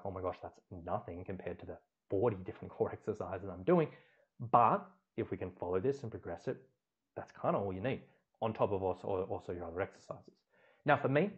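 A man's voice: lowish voice, muffled recording. A man in his thirties teaching a slide lesson as a strength coach, chatty and repeating words for emphasis, recorded on a webcam indoors. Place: indoor room, webcam in a corner circle.

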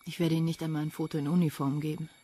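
An actress playing a cool, quick-witted policewoman with a dry, sardonic manner in a radio crime drama. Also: low-pitched, little pitch movement, dark tone, breathy edge.

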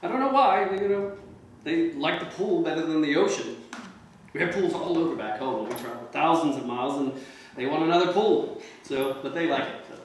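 A man playing a gentle dad, distant and echoey. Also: a little high, a little fast, natural voice. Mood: hesitant. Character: kindly, hesitant tourist dad, a lawyer. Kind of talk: staged play reading, also reads stage directions. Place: wood-panelled mansion room, indoors. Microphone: distant camera microphone. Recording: very echoey room, distant mic, faint hiss.

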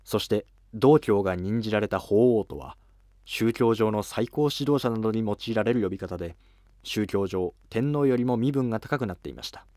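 A man's voice: on the low side, normal speed, mellow, no echo, clean studio sound. A man giving scripted narration as the narrator of a history programme.

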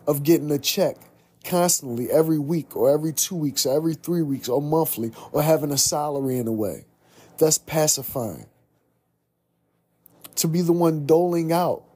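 A young man speaks in an earnest, preaching monologue, circling back and repeating his points. His voice is dark and mellow with a husky edge. He sits close to the camera in a small room. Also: mid-range voice. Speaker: podcast host. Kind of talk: reflective monologue.

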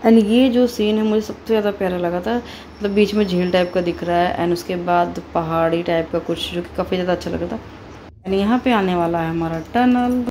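A woman's voice: lowish voice, fairly even intonation, a little fast, warm tone, slight background noise. A woman and mother narrating her family's train journey in a loose, chatty travel-vlog style, mixing in English words and fillers.

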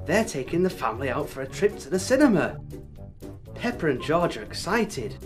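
A narrator telling a scripted children's story in an expressive way. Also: mid-range voice, normal speed, over music.

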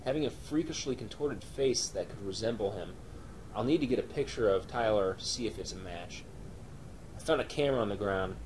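A man playing a shaken, solemn police officer in an analog horror drama, speaking in a halting, matter-of-fact way. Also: darkish tone, natural voice. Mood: shaken, grim. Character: grim, shaken male police officer investigating monsters. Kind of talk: analog horror found-footage acting. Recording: noisy, rumbling amateur recording.

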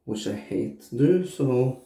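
A man in his thirties with a cold and a stuffy nose, reviewing shaving soap casually in his bathroom while shaving on camera. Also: medium pitch. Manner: slow, relaxed, frequent uh and um pauses. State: congested from a cold, sore throat. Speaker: shaving vlogger. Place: small bathroom indoors. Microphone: camera at arm's length. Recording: echoey bathroom.

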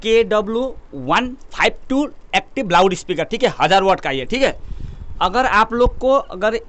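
A man's voice: sits high, expressive, normal speed, fairly bright tone. A young man from India casually presenting products to camera as a video host, emphatic and repetitive, addressing viewers like brothers. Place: indoor electronics showroom.

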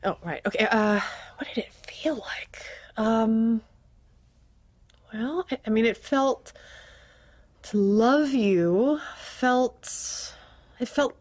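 This is a troubled wife in an audio drama. Her voice is high, and she speaks in halting, unsure bursts and then with blunt, teasing frankness. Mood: uncertain. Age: 40s. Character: wife Franny, anxious and blunt, confused.

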